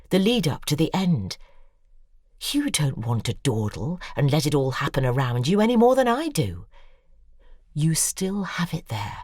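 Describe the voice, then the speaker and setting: A commanding, embittered older female author in an audio drama. Her voice is very deep for a woman and moves expressively up and down. She speaks theatrically and insistently, recorded close and dry. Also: darkish tone, dry recording, full character voice. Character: bitter, imperious older woman, a famous novelist confessing.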